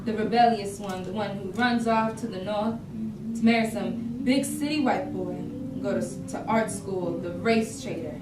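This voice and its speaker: A woman's voice: medium pitch, moderate pace, lightly acted. A woman playing a resentful, rebellious youngest sister in a dramatic monologue at a staged reading. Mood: bitter, fed up. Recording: echoey room, distant mic, noisy.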